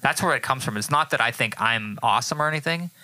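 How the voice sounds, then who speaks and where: A man's voice: medium pitch, fast talker, pushed and bright, no echo, low-quality recording. A man co-hosting a podcast in casual chat, laid-back, with many fillers and offhand swearing. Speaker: podcast co-host and musician.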